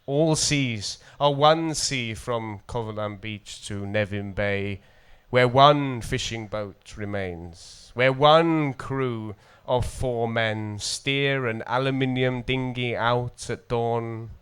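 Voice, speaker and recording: A man from North Wales with a North Welsh accent, a poet introducing and reading poetry at a festival in a measured, deliberate way. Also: medium pitch, fairly bright tone, clear, resonant tone. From coastal North Wales.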